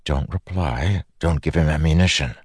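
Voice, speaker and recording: A man's voice: very deep voice, moderate pace, breathy edge, dry recording, studio quality. A man voicing a stern, guarded war leader in a fantasy audiobook, speaking in a firm, blunt, stubborn way. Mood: self-urging.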